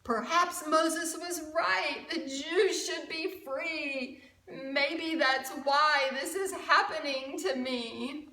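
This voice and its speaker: A woman's voice: sits high, extremely slow, sharp tone, voice pushed up, exaggerated voice. A woman voicing a proud, stubborn male Pharaoh in an emphatic children's storybook reading. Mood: alarmed, dismayed. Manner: emphatic, boastful storybook exclaiming. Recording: roomy, from a distant mic.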